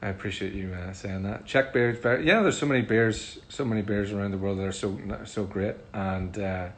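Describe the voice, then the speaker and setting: Chatty Northern Irish man, low voice. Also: fairly quick, slight background noise. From Northern Ireland. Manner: relaxed, chatty, frequent ums. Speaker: musician answering fan questions. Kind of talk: casual livestream Q&A.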